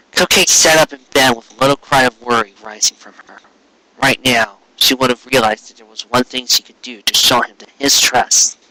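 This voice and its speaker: Bright, forceful man narrating a story. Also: medium pitch, dry recording, poor audio. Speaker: fan fiction reader. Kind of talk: dramatic scripted story narration.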